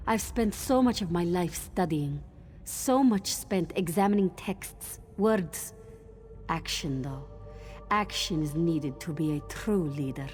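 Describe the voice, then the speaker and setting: Solemn, low-toned young cat-woman scholar. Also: a little mellow. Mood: reflective, determined. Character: earnest, grieving young female cat-folk scholar, resolute. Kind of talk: video game character acting.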